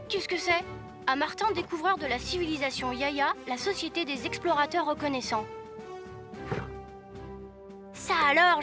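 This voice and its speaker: A woman voicing an earnest, adventurous schoolboy hero in a children's cartoon, with expressive, exclamatory delivery. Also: high-pitched, lightly acted. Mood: puzzled, musing. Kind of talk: French-language children's cartoon dub. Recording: music bed behind the voice.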